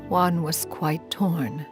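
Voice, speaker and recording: An actress playing a proud, ancient female immortal in a video game, speaking formally and archaically with quiet care. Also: low-pitched. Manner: formal, archaic, refers to self as "one". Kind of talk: scripted game dialogue.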